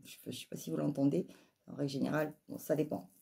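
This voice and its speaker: A woman's voice: low-pitched, steady tone, fast talker, gravelly, warm tone, husky. A woman with a southern French accent giving an opinionated, emphatic monologue to viewers, leaning on rhetorical questions and repetition. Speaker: life coach and spiritual vlogger.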